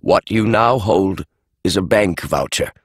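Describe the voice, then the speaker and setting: A man's voice: low-pitched, unhurried, no room sound. A man reading the part of a formal elderly lawyer explaining the terms of a will. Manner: formal, precise. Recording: dry studio audiobook.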